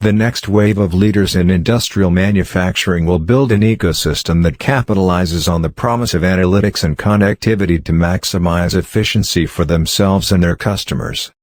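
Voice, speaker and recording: A man reading practice passages aloud in a measured, scripted way. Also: sits low, darkish tone, no echo, studio quality. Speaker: exam practice reader. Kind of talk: read-aloud practice narration.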